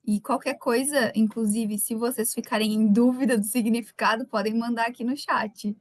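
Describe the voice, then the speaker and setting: A woman's voice: a little high, steady tone, moderate pace, no echo. Chatty woman with a light Gaúcho accent. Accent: light Gaúcho Brazilian Portuguese. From Rio Grande do Sul, Brazil. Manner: chatty, warm greetings to viewers by name. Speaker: webinar speaker. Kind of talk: live webinar talk.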